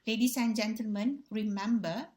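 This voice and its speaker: Malaysian-accented woman lecturer, Malay native, measured and repetitive delivery, addresses students formally. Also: on the high side, fairly even intonation. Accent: Malaysian English. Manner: deliberate, repeats key phrases, addresses audience as ladies and gentlemen. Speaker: university lecturer. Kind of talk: recorded revision lecture.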